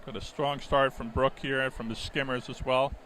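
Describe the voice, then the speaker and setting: A man's voice: mid-range voice, normal speed, rough recording. Middle-aged man, from Denmark, native Danish speaker, live swim commentary, hesitant and conversational, mid-range voice, background noise. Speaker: swimming commentator and coach. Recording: steady clear background venue noise. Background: swim meet ambience.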